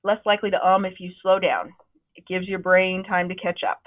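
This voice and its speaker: A woman leading a webinar on presenting, with a loud, projecting voice that is starting to wear out, talking in a conversational, encouraging way with frequent ums. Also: lowish voice, little pitch movement, a little fast. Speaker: presentation trainer. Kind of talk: webinar talk. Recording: narrow, phone-like webinar audio.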